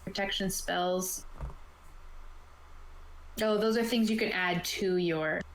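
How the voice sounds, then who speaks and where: A woman's voice: fairly low pitch, steady tone, takes it slowly. A woman casually explaining tabletop game rules and reading rulebook passages aloud, as a game master on an online call. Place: indoor room, online video call. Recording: roomy, echoey home recording.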